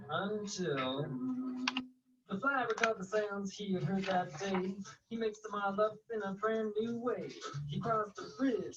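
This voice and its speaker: A person reading a children's story aloud in an expressive, rhythmic way, with jazzy scat and sound effects. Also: medium pitch, slight background noise. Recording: muffled lo-fi audio with music. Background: music.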